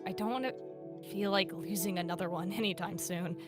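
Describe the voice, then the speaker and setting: Earnest, worried young male cat apprentice played by a woman, hesitant and pleading, animated story roleplay, music clearly behind. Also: fairly low pitch, a little fast, close to an everyday voice. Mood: concerned.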